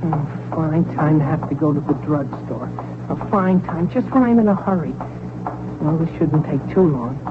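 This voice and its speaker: A man's voice: on the high side, moderate pace, lightly acted. A man acting an impatient, put-upon teenage boy in a 1940s radio sitcom, exclamatory, whiny and earnest. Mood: exasperated. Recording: tinny, very noisy 1940s radio recording.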